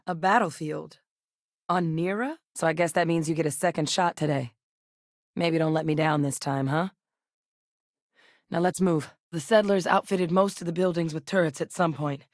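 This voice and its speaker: An actress playing a serious, driven military scientist in a sci-fi video game, speaking in a measured, earnest, dryly wry manner. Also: female voice, deep voice, vocal fry, darkish tone, no echo, studio quality. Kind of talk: acted sci-fi game dialogue.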